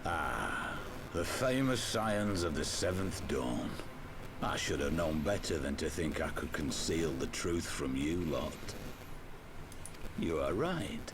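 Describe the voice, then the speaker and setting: An actor playing a proud, defiant resistance fighter in a video game, speaking in a grave, declamatory way. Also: male voice, low-pitched, noisy background, exaggerated voice. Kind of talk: video game cutscene voice acting.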